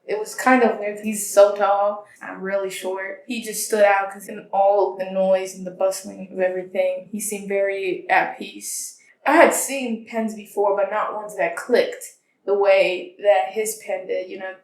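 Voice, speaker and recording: A woman's voice: medium pitch, level tone, measured pace. A young woman telling personal stories casually and conversationally, as an interviewee. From born in Tanzania, grew up in the United States. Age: young adult. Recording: roomy, echoing interview recording.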